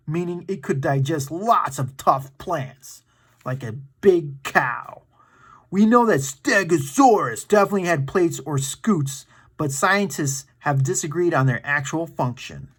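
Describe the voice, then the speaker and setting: A man's voice: on the high side, slightly rough. A man hosting a children's story time, reading aloud in an enthusiastic, playful and dramatic way with casual asides to his young viewers.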